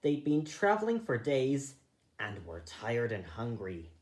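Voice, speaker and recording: Slow, animated man reading a children's story. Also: mid-range voice, reverberant. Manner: halting, repeats misread phrases. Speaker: storybook reader. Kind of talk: read-aloud children's story narration.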